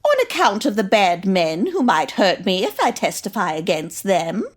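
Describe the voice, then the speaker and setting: A woman's voice: mid-range voice, very animated, normal speed. A woman playing a cheerful, gullible grandmother in her seventies, sweet and obliging, in a comedy audio drama. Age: 70s as played.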